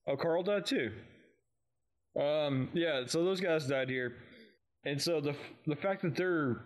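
A man's voice: on the high side, slow, rough recording. A man from Texas co-hosting a fan podcast, chatting informally about an audio drama. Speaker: podcast co-host.

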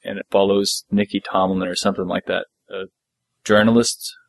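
A man's voice: deep voice, little pitch movement, moderate pace, gravelly. A middle-aged man chatting casually as a podcast co-host, laid-back and appreciative, sometimes reading out listener messages.

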